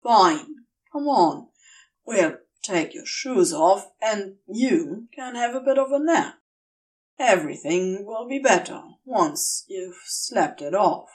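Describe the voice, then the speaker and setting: A woman's voice: medium pitch, expressive, slow, a little mellow, distant mic, poor audio. A woman voicing a guarded, strained male demon who coaxes in clipped phrases as a caretaker in an audio story. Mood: strained, brisk.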